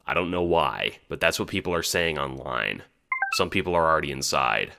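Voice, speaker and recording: A man's voice: a bass voice, animated, normal speed, creaky, background noise, exaggerated voice. A man voicing a blunt, earnest male friend in an audio drama, firm and given to swearing. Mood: firm pleading.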